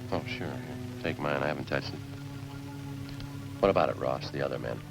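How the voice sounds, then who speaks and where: An actor in an old radio police drama playing a terse, businesslike sergeant, questioning in a clipped, dry, unemotional way. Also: male voice, very deep voice, moderate pace, rough recording. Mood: probing, even. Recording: old radio recording with music behind.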